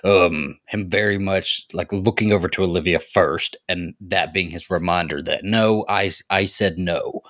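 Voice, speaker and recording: A man's voice: low-pitched, fairly even intonation, moderate pace, few pauses, fairly bright tone, dry recording, clean studio sound. A man co-hosting a podcast, chatting casually and emphatically as a fan, with some swearing. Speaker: podcast co-host.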